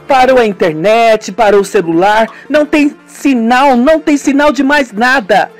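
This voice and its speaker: A man voicing a furious, grieving young woman tourist who shouts defiantly, in an amateur radio novela. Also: fairly high pitch, voice pushed up.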